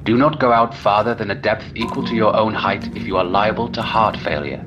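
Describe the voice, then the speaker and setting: A man playing a stern, measured, didactic narrator reading an old-fashioned instruction manual. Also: on the low side, close to an everyday voice. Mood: cautioning. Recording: dull lo-fi sound with music. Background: music.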